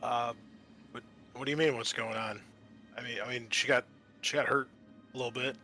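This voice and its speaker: A man's voice: mid-range voice, steady tone, a little fast, pushed and bright, soft background music. A man voicing an evasive, nervous spaceship crewman in a tabletop game, stammering as he talks.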